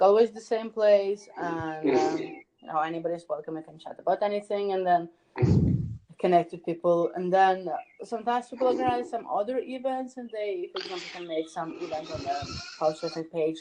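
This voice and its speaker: A woman guest talking casually on an online call, relaxed and filling her talk with "like". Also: on the low side, moderate pace, background noise. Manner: relaxed, uses "like" a lot, laughs easily. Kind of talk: casual interview chat.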